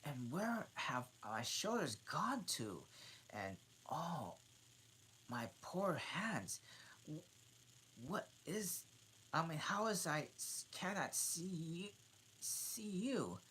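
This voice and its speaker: A woman's voice: very animated, fairly bright tone, slight background noise, exaggerated voice, low-quality recording. Woman reading as a flustered storybook girl. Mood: bewildered. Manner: higher, flustered, halting. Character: bewildered young girl, polite but indignant.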